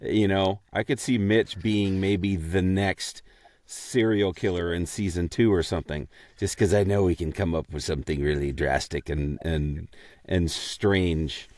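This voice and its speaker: A man co-hosting a podcast in casual chat, speaking haltingly with a self-deprecating manner. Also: low voice, fairly even intonation, darkish tone, no echo. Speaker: podcast co-host and amateur voice actor.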